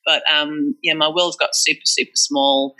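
Bright-voiced Northeast English woman. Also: sits low, fairly even intonation, normal speed, low-quality recording. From northeast England. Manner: chatty and candid, many fillers and restarts. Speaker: guest. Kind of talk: casual conversational interview.

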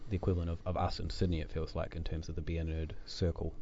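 A New Zealand man with a very low, mellow voice, hosting a relaxed podcast chat. He talks loosely and self-deprecatingly, full of fillers and restarts. The desk-mic recording sounds a little dull and lo-fi. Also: fast, slight background noise. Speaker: podcast host. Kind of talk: casual chat. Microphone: desk microphone.

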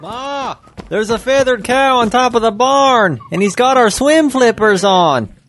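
A man's voice: very high-pitched, lively intonation, unhurried, fairly bright tone, slight background noise, full character voice. A man voicing a young farm animal in a children's audio drama. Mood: alarmed.

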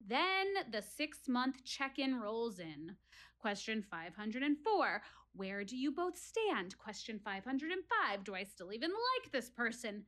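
A woman's voice: a little high, big pitch swings, unhurried, fairly bright tone. An actress playing an earnest, wry woman philosophizing to her theater audience in a dramatic one-woman monologue. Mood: wistful, wry. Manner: dramatic, rhetorical, addressing listeners directly.

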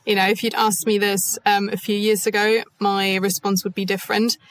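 A woman consultant speaking as a podcast guest, measured and thoughtful, with frequent soft fillers. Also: mid-range voice, flat delivery, low-quality recording. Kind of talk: podcast interview.

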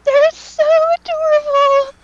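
A woman chatting casually on a fan podcast in a gushing, excitable manner. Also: very high-pitched, slow. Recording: muffled, noisy amateur podcast recording.

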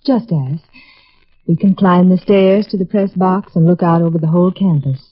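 Woman playing a low-voiced, seductive college temptress. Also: normal speed, close to an everyday voice. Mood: flirtatious. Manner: flirtatious, cutting, self-assured. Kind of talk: 1950s American radio drama acting. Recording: noisy, muffled vintage radio recording.

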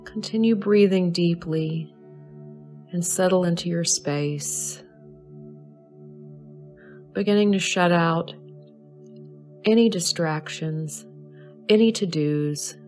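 A woman with a slightly low voice leads a guided meditation. She speaks in short, soothing, measured phrases with pauses between them, over soft background music. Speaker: astrology advisor leading a guided meditation. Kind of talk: slow guided meditation narration.

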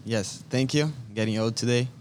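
A man in his twenties from Brazil, speaking English with a Brazilian accent as a non-native speaker, answering interview questions in a friendly, halting way. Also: medium pitch, a little mellow, a little hiss or hum. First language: Portuguese. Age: 20s. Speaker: guest soccer player. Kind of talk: casual interview. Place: brewery.

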